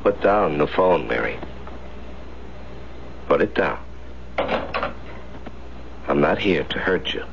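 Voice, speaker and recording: An actor playing a gentle, remorseful hunted man in a radio drama, with a gravelly voice and a halting, earnest manner. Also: expressive, heavily acted. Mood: tired, pleading. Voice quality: deep, gravelly. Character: weary male fugitive, gentle, remorseful, hunted. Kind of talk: 1970s radio drama acting. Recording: dull, noisy 1970s radio recording.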